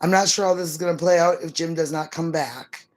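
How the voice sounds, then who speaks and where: A middle-aged woman chatting casually as a livestream co-host, in an easygoing, slightly halting, musing way. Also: sits low, steady tone, a little mellow. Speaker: live stream co-host.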